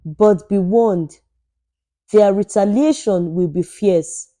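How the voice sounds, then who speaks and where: A solemn spiritual leader in an audio folktale, voiced by a woman narrator. The words come slowly as grave instruction. The tone is soft and mellow, with music behind. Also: medium pitch, dry recording, close to an everyday voice. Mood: solemn warning.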